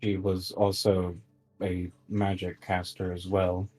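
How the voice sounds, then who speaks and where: A man playing an earnest, cautious adventurer in a tabletop game, speaking in a measured, insistent way. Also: low-pitched, monotone, a little mellow, soft background music. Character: earnest, cautious male adventurer arguing firmly for safety.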